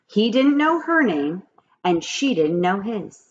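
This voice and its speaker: Teacher lady in her 40s reading a kids book to the webcam, super lively voice, lo-fi sound. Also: mid-range voice, slow. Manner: expressive, animated storytelling. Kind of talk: children's read-aloud. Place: small home office, indoors. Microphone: webcam at desk. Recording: dull lo-fi webcam audio.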